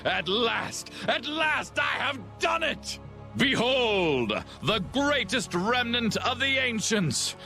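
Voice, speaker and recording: A man voicing a cold, grandiose villain commander in a video game, speaking in a pompous, measured, theatrical way. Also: sits high, pushed and bright, exaggerated voice. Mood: exultant.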